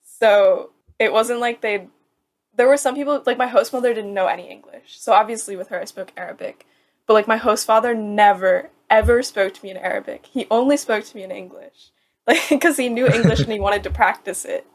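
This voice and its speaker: A woman's voice: fairly high pitch, fairly quick. Chatty young woman with many fillers. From United States. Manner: chatty, frequent "like" fillers, laughs while talking. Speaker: student. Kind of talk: casual chat.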